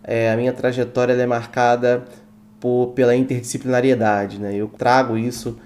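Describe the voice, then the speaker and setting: A man's voice: mid-range voice, little pitch movement, moderate pace, darkish tone. A young man from Brazil, a guest on a podcast, speaking earnestly and a little formally with some hesitations. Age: young adult. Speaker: guest, student event organizer. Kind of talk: casual podcast interview.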